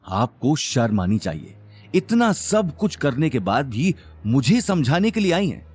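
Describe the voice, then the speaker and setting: A man's voice: mid-range voice, big pitch swings, a little slow, darkish tone, breathy edge, faint music behind. A man voicing an angry, contemptuous company executive in an audio drama, scornful, emphatic and commanding. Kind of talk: Hindi audio drama acting.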